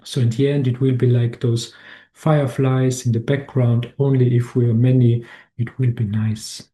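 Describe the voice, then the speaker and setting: Halting Austrian-born man giving a webinar. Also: mid-range voice, flat delivery, mellow. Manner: halting, many restarts and fillers. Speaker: webinar presenter. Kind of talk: slide presentation talk.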